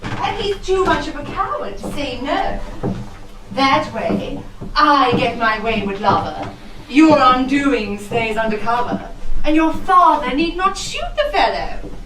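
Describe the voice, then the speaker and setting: A woman's voice: sits high, lively intonation, measured pace. An actress playing a sly, gloating young society lady in a comic costume drama, arch and smug. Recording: echoey room, slightly distant.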